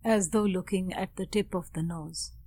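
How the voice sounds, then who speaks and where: A woman meditation teacher giving calm, measured guided instruction with pauses and Sanskrit mantra. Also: fairly low pitch.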